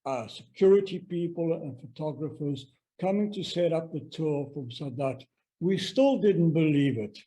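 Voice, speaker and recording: A man's voice: a little high, warm tone, low-quality recording. An older man with a South African accent, giving a chatty, anecdotal talk on a video call. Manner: chatty, anecdotal, self-deprecating. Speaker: presenter.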